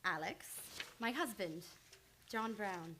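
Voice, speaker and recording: A woman's voice: medium pitch, animated, takes it slowly, pushed and bright, exaggerated voice. A woman playing an earnest, devoted young psychoanalyst in a live old-time radio play. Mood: eager, affectionate.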